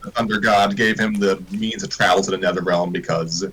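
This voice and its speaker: Deep, husky-voiced man explaining casually. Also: fairly even intonation, fairly quick, a bit bright. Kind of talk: casual explanatory commentary on a livestream.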